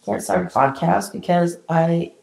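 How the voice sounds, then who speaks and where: A woman's voice: fairly low pitch, unhurried, creaky, darkish tone, reverberant. A young adult woman hosting her own podcast, talking alone in a candid, encouraging way with some casual swearing, her nose a little congested. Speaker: podcast host. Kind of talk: solo reflective podcast talk.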